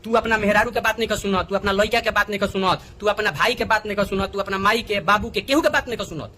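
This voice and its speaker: A man's voice: high-pitched, quick pace, sharp tone. A man with a Bhojpuri accent making an indignant, pleading direct appeal, often repeating himself for emphasis. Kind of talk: agitated direct appeal in a video.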